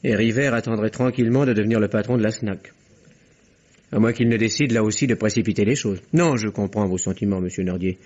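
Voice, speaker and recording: A man's voice: lowish voice, brisk, a little mellow, lightly acted. A man playing a shrewd, composed investigator in a French radio mystery drama, explaining things in an assured, matter-of-fact way. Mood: firm, reassuring. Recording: muffled lo-fi vintage radio recording.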